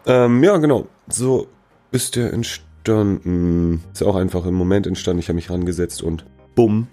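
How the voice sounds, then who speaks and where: A man with a very low, warm voice chats casually as a musician being interviewed. He is slangy and loose, teasing as he talks. Faint music plays behind him. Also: moderate pace.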